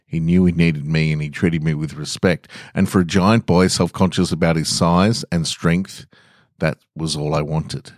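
A man's voice: extremely low pitch, steady tone, moderate pace, no room sound, studio quality. An Australian man hosting a podcast and reading stories aloud. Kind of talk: casual podcast intro and story reading.

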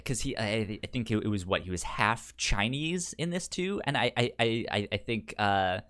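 A man's voice: lowish voice, animated, moderate pace, fairly bright tone. A man co-hosting a podcast, chatting casually about films, often stammering and repeating words as he goes. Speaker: podcast co-host. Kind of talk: casual movie discussion.

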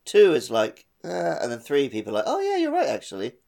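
Man, creaky voice with lively intonation. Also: a little high, a little fast. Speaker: podcast co-host. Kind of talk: casual gaming podcast chat.

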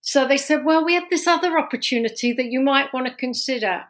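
A woman's voice: sits high, quick pace, a bit bright. London-accented woman telling her story. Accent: London English. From London, England. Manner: storytelling, with frequent restarts and laughs. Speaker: podcast guest. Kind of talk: casual interview talk.

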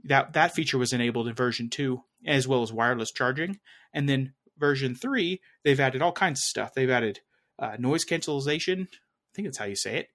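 A man's voice: mid-range voice, moderate pace, a bit bright. A man chatting casually as a tech podcast co-host, rambling a little and often correcting himself as he searches for words. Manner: rambling, hesitant, self-correcting. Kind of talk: casual chat.

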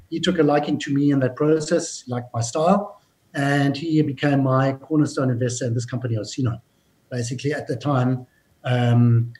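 A businesslike man tells his story as an interview guest. He often starts a phrase over before finishing it, and his pitch sits in the middle range. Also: a little mellow, rough recording. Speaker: mining company executive, guest. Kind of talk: interview answers, recounting his career.

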